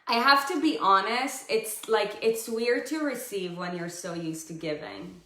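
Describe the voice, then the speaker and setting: A woman's voice: medium pitch, animated, measured pace, bright, forceful tone, echoey room. A woman in her thirties, from Israel, casually telling her story as a podcast co-host, chatty and full of "like" and "you know". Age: 30s. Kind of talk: casual interview.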